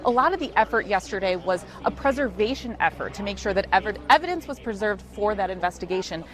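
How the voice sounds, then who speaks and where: A woman's voice: medium pitch, lively intonation, fast, a bit bright. An American woman giving a live field news report in an explanatory, conversational way.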